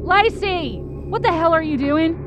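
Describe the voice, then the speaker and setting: A woman's voice: very high voice, expressive, a little slow, noisy background, pitched above the performer's own voice. A woman playing a volatile, bitter and manipulative mother in an audio drama, accusing and wheedling her grown daughter. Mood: angry, demanding. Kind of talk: fiction podcast acting.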